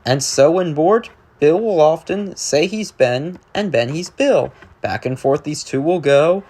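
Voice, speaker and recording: Man, slightly high-pitched voice, storybook reader, rhyming verse, sing-song, expressive. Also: unhurried. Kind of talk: rhyming children's read-aloud.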